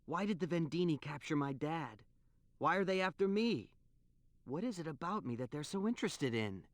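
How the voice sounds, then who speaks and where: A man voices an earnest nineteen-year-old game hero. His boyish voice is very animated, leaping up and down, hesitant and incredulous, recorded close and dry. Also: on the high side, normal speed, no room sound, lightly acted. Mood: flustered. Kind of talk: video game cutscene acting.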